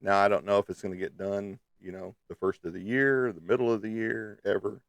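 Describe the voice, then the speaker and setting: A man's voice: lowish voice, fairly even intonation, brisk, gravelly, no room sound. A man with a slightly stuffy nose, chatting casually and playfully to viewers as a livestream host. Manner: playful, teasing, peppered with sung sound effects.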